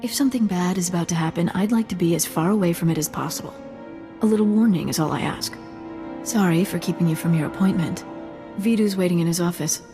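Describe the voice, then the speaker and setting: A woman's voice: on the low side, quick pace, close to an everyday voice. An actress playing a friendly, anxious, ingratiating woman who works for an underworld boss in a video game. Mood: apologetic, anxious. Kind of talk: video game character dialogue. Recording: reverberant hall sound under music.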